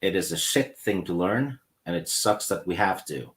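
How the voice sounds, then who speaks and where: A Norwegian man with a very deep, low voice and a Norwegian accent, speaking English, which is not his first language. He delivers dry, deadpan puns in a relaxed, conversational way. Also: normal speed. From Norway. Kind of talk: casual chat.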